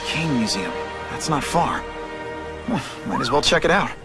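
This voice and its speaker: Young wisecracking superhero, animated voice. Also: male voice, a little high, moderate pace. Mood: wry. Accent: American. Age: young adult. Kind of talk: video game voice acting. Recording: music playing behind the voice.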